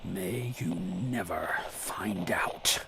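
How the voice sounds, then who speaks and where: Radio drama role: grim, cryptic vigilante, creaky gravelly voice, half-whispered menace, slightly noisy audio. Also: male voice, deep voice, sharp tone, heavily acted. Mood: grave, foreboding. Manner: slow, ominous, formal speech. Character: grim mysterious male vigilante, cryptic and resolute.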